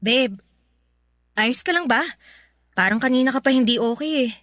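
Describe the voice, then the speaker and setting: Intense, chatty young Filipina girlfriend, radio drama, high bright voice, expressive and nagging. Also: moderate pace, slight background noise. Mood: worried, insistent. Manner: expressive, nagging, exasperated exclamations. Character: emotional, chatty, astrology-obsessed girlfriend who loves intensely.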